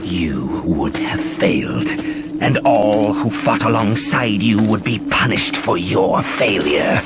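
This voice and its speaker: A low-voiced, cold dark elf assassin from a fantasy game. He speaks slowly and formally with haughty menace, over loud music. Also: animated. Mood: cold contempt. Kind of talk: fantasy game cutscene acting.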